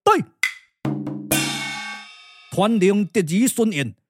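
A man voicing a calm, confident elder general with a Taiwanese accent, in measured, formal puppet-drama declamation. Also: high-pitched, over music, heavily acted. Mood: resolute, grave. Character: calm, confident strategist general Sun Bin, an elder uncle.

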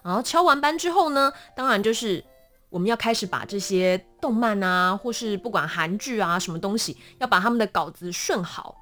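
A young woman with a Taiwanese Mandarin accent explaining her work as an interview guest in an animated, self-deprecating, casual way. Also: medium pitch, fairly quick, faint music behind. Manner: animated, self-deprecating, acts out small examples.

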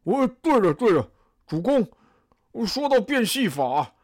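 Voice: medium pitch, big pitch swings, a little slow. A voice actor playing Xu Chu, a loyal, cheerful, burly bodyguard who coaxes his lord in a sing-song way. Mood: cheerful coaxing. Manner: eager, coaxing, sing-song. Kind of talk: audio drama acting.

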